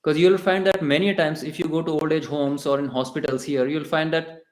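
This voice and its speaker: A man with an Indian English accent lectures in a temple hall, a bit high in pitch and chatty, often pausing and restarting his sentences. The room around him rings with echo. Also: fairly even intonation. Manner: conversational, with hesitations and restarts. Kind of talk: devotional lecture.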